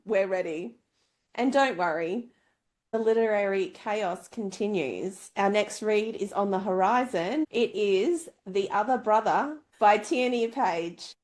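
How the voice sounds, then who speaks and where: Woman hosting a playful book podcast. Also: mid-range voice. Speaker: book club podcast host. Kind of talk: casual book review chat with scripted sign-off.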